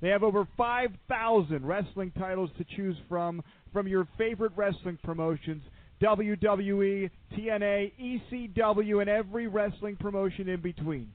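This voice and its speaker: A man's voice: high-pitched, normal speed, no echo. A man reading a scripted radio commercial in an upbeat, salesy way. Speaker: ad announcer. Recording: dry tinny phone-like audio.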